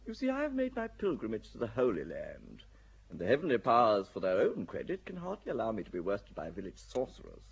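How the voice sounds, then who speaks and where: A cynical English nobleman, husky and languid, who drifts lazily through his lines. His voice rises and falls in very wide swings. The sound is dull and lo-fi, like an old radio play. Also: on the low side, wildly swooping pitch, exaggerated voice. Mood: wry. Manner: languid, wry and unhurried. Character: cool, cynical English nobleman, middle-aged. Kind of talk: classic radio drama acting.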